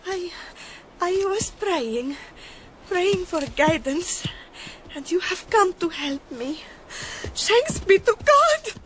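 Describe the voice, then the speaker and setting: A woman's voice: very high voice, sing-song, takes it slowly, long pauses, a bit bright, slight background noise, exaggerated voice. A woman acting a devout, devoted young Spanish officer's wife in a radio drama. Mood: anguished, pleading. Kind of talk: radio drama narration and dialogue. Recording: music behind the voice.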